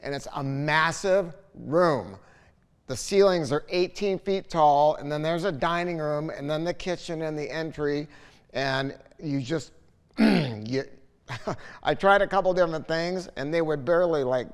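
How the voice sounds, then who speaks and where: Man in his 60s, American accent, clear resonant voice, fairly high-pitched, casual rambling reviewer. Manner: animated, opinionated, salty, rambling storytelling. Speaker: audio dealer presenting a speaker review. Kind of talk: casual, unscripted product talk to camera. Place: large living room at home, indoors. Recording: echoey large high-ceilinged room.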